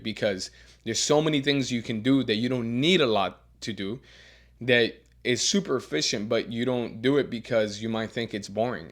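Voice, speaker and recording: A man who coaches strength and teaches as a professor, giving earnest career advice with a deeper voice and frequent repeated words as he restarts. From Miami. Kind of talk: advice monologue.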